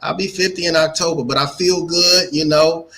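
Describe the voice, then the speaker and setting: A man's voice: on the high side, steady tone, moderate pace, bright, forceful tone. A man of forty-nine with an American accent, telling his story casually as an interview guest and repeating phrases for emphasis. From Gary, Indiana, United States. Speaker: guest, entrepreneur and speaker.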